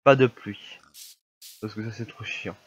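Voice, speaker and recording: A man's voice: on the low side, steady tone, unhurried, dry recording, rough recording. A young man with a cold talking through his gameplay in casual, grumbling commentary, with a slightly stuffy voice. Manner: grumbling, easily annoyed at lag. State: has a cold, coughing. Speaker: gamer.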